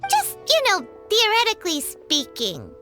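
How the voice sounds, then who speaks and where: A woman's voice: extremely high pitch, huge pitch swings, unhurried, bright, forceful tone, exaggerated voice. A woman voicing a small, bubbly, eager girl companion in a video game, with a cutesy, emphatic delivery. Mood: awkward, careful. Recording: music playing behind.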